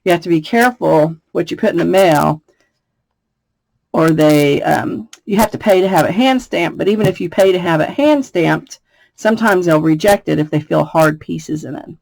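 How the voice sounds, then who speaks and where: A woman from the United States with a slightly raspy voice, chatting through a card-making tutorial and laughing between steps. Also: lowish voice, moderate pace, a little mellow, dry recording, low-quality recording. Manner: chatty, laughs often, thinks aloud. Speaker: craft demonstrator. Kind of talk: casual craft tutorial.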